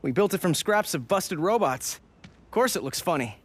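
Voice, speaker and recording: An actor playing an eager, brave young boy in a video game, with an earnest, excitable manner. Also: high-pitched, moderate pace, a little hiss or hum. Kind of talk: animated video game voice acting.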